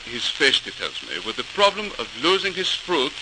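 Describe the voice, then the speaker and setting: An actor playing a skeptical, fussy company boss who repeats himself, in 1940s radio drama style. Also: male voice, on the high side, very animated, normal speed. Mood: formal. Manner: hesitant, repetitive, dismissive. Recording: noisy crackling old radio recording.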